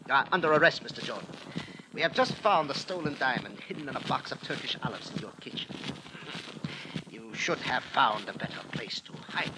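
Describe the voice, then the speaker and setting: An actor playing an officious, pompous police sergeant in a 1940s radio drama, with stiff formal speech. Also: male voice, medium pitch, animated, a little fast, lightly acted. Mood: officious, accusing. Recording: noisy old radio drama broadcast.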